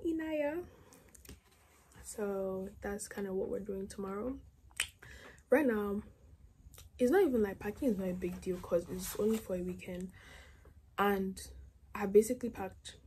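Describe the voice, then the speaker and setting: A chatty young woman in her teens talks to a phone held at arm's length in her bedroom. Her voice sits at an ordinary middle pitch, and she rambles easily with plenty of "like." A faint hum of room ambience sits behind her. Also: warm tone. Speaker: vlogger. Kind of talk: casual vlog chat to camera. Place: bedroom at home, indoors. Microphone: phone camera at arm's length.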